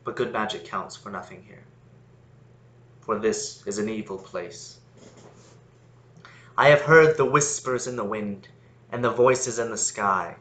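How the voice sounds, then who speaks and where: A man playing a fearful, lonely magician who calls himself lord of the sun, in a deliberate, theatrical monologue. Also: on the low side, a bit bright, poor audio. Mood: grave, determined. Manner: dramatic, incantatory, deliberate.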